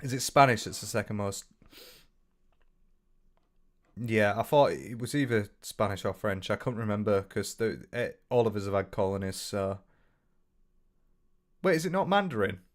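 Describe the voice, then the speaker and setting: Young northern English male streamer, lowish voice, loose rambling chat with restarts. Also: expressive, normal speed. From north of England. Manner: rambling, frequent restarts, swears casually. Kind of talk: casual livestream chat reading comments.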